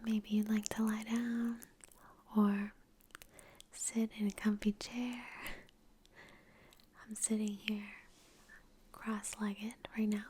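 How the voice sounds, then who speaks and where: Slow, breathy whispering woman. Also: medium pitch, flat delivery. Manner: gentle, unhurried, soothing, with long pauses. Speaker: ASMR creator and meditation guide. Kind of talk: whispered ASMR guided meditation. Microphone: close microphone in front of the face.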